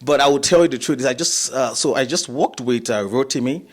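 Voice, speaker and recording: A man from Nigeria, a musician and actor, chatting in an interview, relaxed and chuckling, with many fillers and restarts. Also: mid-range voice, brisk. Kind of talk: casual interview chat.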